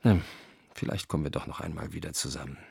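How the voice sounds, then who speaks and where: Brief: cautious publisher, confiding, deep husky rasp. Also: male voice, quick pace, darkish tone, full character voice. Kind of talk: radio drama letter reading.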